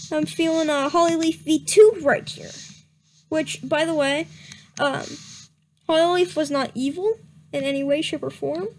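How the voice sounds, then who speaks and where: A young woman with a high voice reads a fan story aloud in a slow, unhurried way. She breaks off for sarcastic asides and frequent giggles. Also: slight background noise. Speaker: reader. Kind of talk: mocking dramatic reading.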